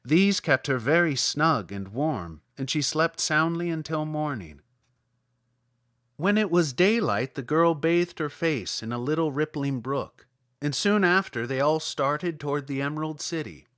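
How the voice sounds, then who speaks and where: A man reading as a podcast host and storyteller, in expressive scripted storybook narration. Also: on the high side, a little slow, no echo, clean studio sound. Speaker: podcast host and audiobook reader.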